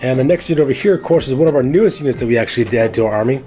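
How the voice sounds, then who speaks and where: Fast-talking raspy man with a cold. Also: medium pitch, warm tone, soft background music. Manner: showman-style address to viewers, chatty with many fillers. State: hoarse from a cold. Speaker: host of a miniatures hobby channel. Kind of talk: casual hobby showcase presenting.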